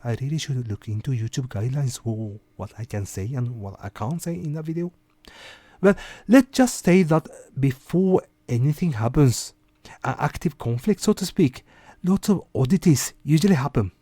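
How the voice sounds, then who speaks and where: Man with lively, swinging higher-pitched delivery. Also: no room sound. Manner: conversational, rhetorical asides to the listener. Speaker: host of a weekly rant video show. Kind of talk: informal monologue commentary.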